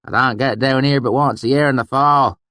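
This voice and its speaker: A man's voice: medium pitch, steady tone, a little fast, bright, forceful tone, no echo, clean studio sound, voice pushed up, natural voice. A man doing a blustery old country swineherd. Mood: spooked.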